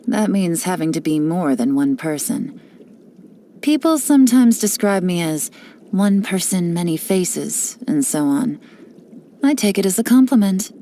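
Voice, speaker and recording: An actress playing a cool, cunning female spy and information broker, speaking with confident, sly, measured delivery in game voice lines. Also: lowish voice, warm tone, a little hiss or hum. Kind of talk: scripted character voice lines.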